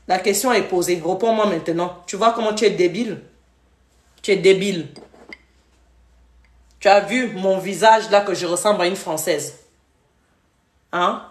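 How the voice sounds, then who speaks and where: Woman from Gabon, scolding, echoey room. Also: medium pitch. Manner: scolding, repetitive, switches to English briefly. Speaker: live-stream host. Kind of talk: heated live-stream rant.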